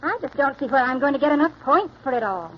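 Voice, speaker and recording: An actress playing a fretting, budget-counting housewife shopper in a 1940s radio advert. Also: female voice, high-pitched, animated, fast talker, a little hiss or hum. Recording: dull old radio recording.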